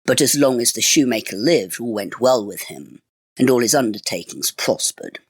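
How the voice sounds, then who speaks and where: A man reading a fairy tale as storyteller, in expressive, measured phrases. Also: mid-range voice, husky, dry recording. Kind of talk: scripted storybook narration.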